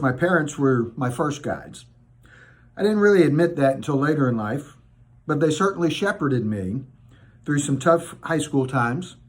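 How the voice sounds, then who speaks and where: Friendly middle-aged man, slightly higher voice, unhurried talk to teens. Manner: friendly, conversational. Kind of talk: informal talk to teenagers.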